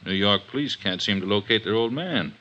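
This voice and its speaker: Gruff-talking police inspector, old radio show, really low voice but super hard and bright, blunt, no nonsense. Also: male voice, lively intonation, brisk, muffled recording. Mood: businesslike. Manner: plain-spoken, forceful. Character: blunt, weary male police inspector, steady and serious. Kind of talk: 1950s radio drama acting.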